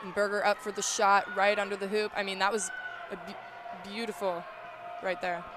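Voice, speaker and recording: A man's voice: high-pitched, level tone, moderate pace, a crowd in the background, noisy background. A man giving live color analysis on a basketball radio broadcast. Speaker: sports color commentator.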